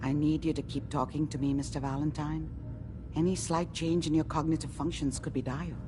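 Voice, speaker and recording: An actress playing a brisk, exacting woman scientist in a video game, speaking in precise clinical terms. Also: sits low, steady tone, background noise, natural voice. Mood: focused, concerned. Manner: precise, formal, clinical wording. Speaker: doctor and scientist character.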